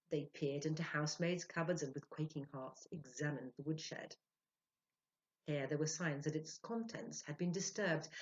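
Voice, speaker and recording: Woman in her sixties, slightly low voice, theatrical storytelling, expressive, muffled webcam recording. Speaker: professional storyteller. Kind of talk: dramatic prepared story reading. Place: small indoor room. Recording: dull lo-fi webcam sound.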